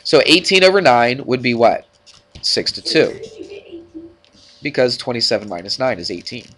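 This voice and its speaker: A man's voice: mid-range voice, big pitch swings, normal speed, fairly bright tone, a little hiss or hum. A man with a stuffed-up nose teaching math to a class, talking informally and checking in with frequent questions. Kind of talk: classroom worked-problem lesson.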